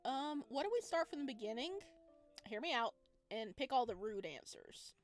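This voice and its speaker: A woman's voice: fairly high pitch, very animated, normal speed, soft background music. A woman giving casual, chatty let's play commentary as she plays a story game. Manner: chatty, informal. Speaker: gamer playing and reacting to a visual novel.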